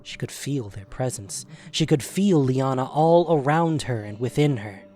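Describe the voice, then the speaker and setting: A man narrating a scripted audio drama as a storyteller, in a measured way. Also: mid-range voice, lively intonation. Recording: loud music behind voice. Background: music.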